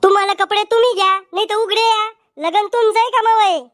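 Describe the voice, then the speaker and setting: A man voicing a cheeky, quarrelsome village cartoon character with a Varhadi Marathi accent and a pitched-up voice. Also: fairly even intonation, quick pace, a bit bright, no room sound, studio quality. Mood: cheeky, sarcastic. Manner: brash, sarcastic, bickering.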